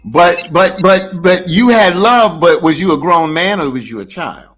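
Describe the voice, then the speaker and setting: Bright voiced guy pushing his point on a chat show, swoops a lot. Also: high voice, expressive, a little slow, soft background music. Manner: emphatic and questioning. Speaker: show regular. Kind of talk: casual debate chat.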